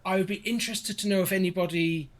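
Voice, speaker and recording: A man's voice: high voice, fairly even intonation, fast talker. A man speaking as a podcast guest in casual conversation, explaining things in detail. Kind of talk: casual chat.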